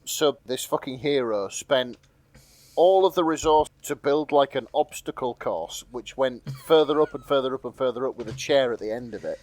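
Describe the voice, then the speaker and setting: A man's voice: medium pitch, normal speed, darkish tone, dry recording. A man co-hosting a podcast, chatting casually and reading fan fiction aloud in a jokey, sweary way. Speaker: podcast co-host.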